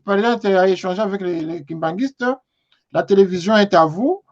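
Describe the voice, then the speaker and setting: Man, TV talk show host, hesitant delivery, high-pitched, dull muffled audio. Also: brisk. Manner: hesitant, many fillers and restarts.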